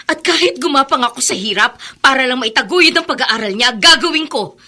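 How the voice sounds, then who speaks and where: A woman's voice: high voice, animated, fairly quick, pushed and bright, heavily acted. An actress playing a poor but proud widowed mother in a Filipino radio soap, emotional and melodramatic. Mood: defiant. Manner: pleading, melodramatic.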